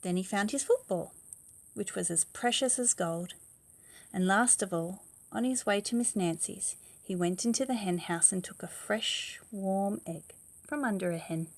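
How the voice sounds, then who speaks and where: A woman reads a children's picture book as a bedtime storyteller. Her voice sits at a medium pitch. She leans on key words expressively and speaks to a young listener, sometimes with a question. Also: unhurried.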